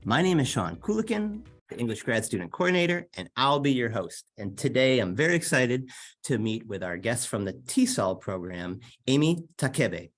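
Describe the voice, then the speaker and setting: A man's voice: mid-range voice, sing-song, moderate pace. A middle-aged man hosting an interview over a video call from an office, upbeat and enthusiastic. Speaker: host, graduate student coordinator. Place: office with bookshelves.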